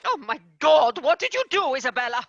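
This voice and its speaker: A woman's voice: sits high, sing-song, fairly quick, gravelly, a bit bright, dull audio, a little hiss or hum, exaggerated voice. A woman playing a prim, formal female tooth fairy boss who is horrified by her staff. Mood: aghast. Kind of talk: stage play performance.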